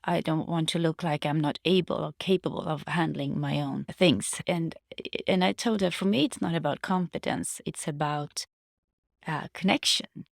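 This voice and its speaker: A woman with a low voice shares personal reflections in a casual monologue. Her delivery has plenty of vocal fry, and she often pauses to search for words or restate a phrase. The sound is dry and close, with no room echo. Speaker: vlogger sharing personal reflections.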